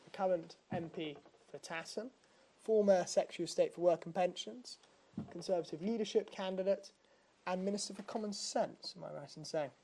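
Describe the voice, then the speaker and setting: A young woman with a mellow, slightly low voice introduces a guest speaker. She keeps her phrasing casual and friendly. There is a faint hiss of noise behind her. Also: brisk. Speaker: student society president and interviewer.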